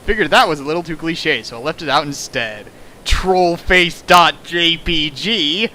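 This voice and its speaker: A man's voice: on the high side, unhurried, pushed and bright, slight background noise. A man casually riffing on a text he reads aloud as host, in a sarcastic, mocking manner. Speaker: host of a fan fiction dramatic reading.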